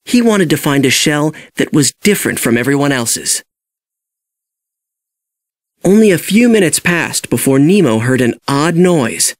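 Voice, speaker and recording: A man reading a children's story as an expressive, scripted read-along narration. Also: on the high side, no echo, studio quality. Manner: animated storytelling.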